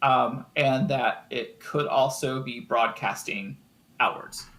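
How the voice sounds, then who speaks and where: A man narrating a tabletop game as game master, improvising with frequent ums and pauses. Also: medium pitch, fairly bright tone, rough recording.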